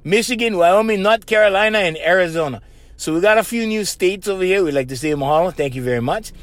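A man's voice: high-pitched, quick pace, dry recording, natural voice. A man playing a brash Hawaiian ex-con who hosts a podcast, speaking in Hawaiian Pidgin with laid-back slang and a "bro" on every line. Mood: upbeat. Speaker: podcast host. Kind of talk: comedic character monologue.

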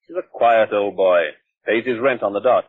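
An actor playing a brisk, sceptical young village doctor with a British accent in a 1940s radio drama. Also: male voice, on the low side, no echo, close to an everyday voice. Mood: dismissive. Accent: British English. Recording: tinny old radio broadcast, dry, muffled.

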